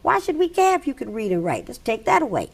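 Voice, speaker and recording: A woman's voice: on the high side, huge pitch swings, quick pace, vocal fry, husky. A woman organizer speaking emphatically in an interview, building her point with rhetorical questions. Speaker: welfare rights organizer. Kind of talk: interview testimony. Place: dark studio, indoors.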